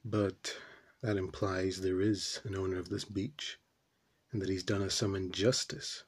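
A puzzled, brooding man played by a male actor muses through an absurdist stage monologue. His voice is lowish and husky, and he ponders very slowly, halting between thoughts. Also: steady tone, exaggerated voice. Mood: suspicious, brooding. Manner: halting, pondering, with deliberate pauses.

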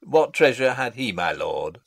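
Old courtier, Shakespearean reading, pompous, fussy, declamatory, hugely animated intonation, dry recording. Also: male voice, medium pitch, unhurried, gravelly, no echo, full character voice. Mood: puzzled, indulgent. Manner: pompous, declamatory, long-winded. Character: pompous, fussy old male royal counsellor.

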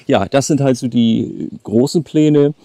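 A man's voice: mid-range voice, unhurried, dark tone. A man in his late fifties chatting casually to the camera outdoors as a travel vlogger. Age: late 50s. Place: outdoors by a lake.

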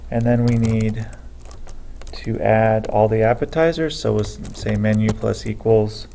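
Man narrating a coding screencast: flat, gravelly, unhurried, light background hiss. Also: fairly low pitch. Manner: slow, methodical, thinking aloud while typing. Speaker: programming teacher. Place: at a computer, keyboard typing heard.

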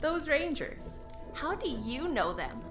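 A woman acts out a brisk young fantasy heroine, a dancer with an assured, plain-spoken manner. Her voice sits fairly high, with music clearly playing underneath. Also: huge pitch swings, fairly bright tone, exaggerated voice. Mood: wary. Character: young red-haired dancer, brisk confident rebel helper.

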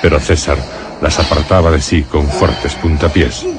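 Very deep-voiced male suspense narrator. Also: fairly even intonation, a little slow. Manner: solemn, dramatic storytelling. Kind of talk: scripted radio suspense and horror narration. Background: music.

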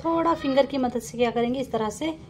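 A woman's voice: high voice, fairly quick, darkish tone. Woman narrating home cooking over kitchen clatter. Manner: often addresses viewers directly, repeating instructions. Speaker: home cook and vlogger presenting a recipe. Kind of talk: casual step-by-step cooking narration. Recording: clear kitchen noise, clattering pots and sizzling. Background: pots clanking, frying and stirring.

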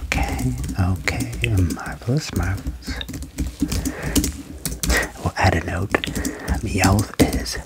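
A young man doing a soft ASMR medical roleplay in a breathy whisper, slow, soothing and reassuring, close to the microphone. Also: deep voice, very noisy. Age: young adult. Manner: slow, soothing, reassuring, repeating short praise. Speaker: ASMR roleplayer playing an alien doctor. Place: small indoor room at home. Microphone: close desk microphone with furry windscreen.

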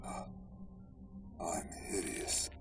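An actor playing a bitter, menacing scarred ex-gangster in a breathing mask in a video game, with a deep, gravelly voice. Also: male voice, very slow, far from the mic. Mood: horrified. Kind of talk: video game cutscene acting. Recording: music under the voice.

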